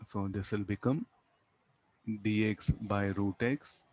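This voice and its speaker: A man's voice: on the low side, takes it slowly. A man with an Indian English accent lecturing as a physics teacher, explaining step by step and often posing questions that he answers himself. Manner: didactic, asks and answers own questions, tags statements with "no?". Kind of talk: classroom physics lecture, working through a problem.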